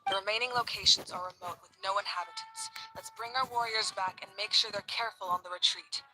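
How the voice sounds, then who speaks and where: A woman playing a calm, commanding leader in an anime game. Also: medium pitch, fast, over loud music, natural voice, low-quality recording. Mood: calm, decisive. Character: commanding, calm female leader giving orders.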